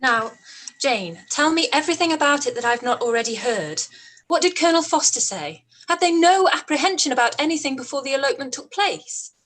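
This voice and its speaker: A woman's voice: sits high, animated, a little fast, fairly bright tone, poor audio. A woman playing a sensible, earnest young woman in a period radio play. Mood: concerned. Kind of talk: live-read radio play acting of period drama.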